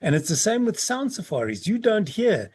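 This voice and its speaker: A man's voice: high-pitched, sing-song, moderate pace, a little mellow, a little hiss or hum. A man hosting a podcast interview, chatty and affable as he asks his questions. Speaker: podcast host.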